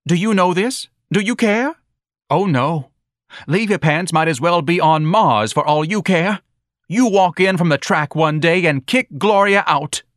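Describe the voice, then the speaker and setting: A man's voice: high-pitched, lively intonation, unhurried, a bit bright, no room sound, clean studio sound, voice pushed up. A man voicing a self-righteous, meddling wife who scolds and pleads theatrically, in an audiobook story. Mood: accusing.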